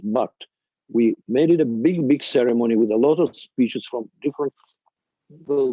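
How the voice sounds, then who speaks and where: An older man with a Russian accent gives a halting, emphatic presentation as a museum director, in non-native English. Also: mid-range voice, dry recording, highs cut off. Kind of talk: extemporaneous panel presentation.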